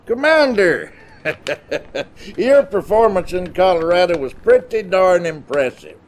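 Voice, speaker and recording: A blustery older army general with a drawl, pitched on the high side. His delivery is folksy, emphatic and very animated, as in a game cutscene, with faint noise behind him. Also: slow, heavily acted. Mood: jovial. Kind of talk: dramatic video game cutscene acting.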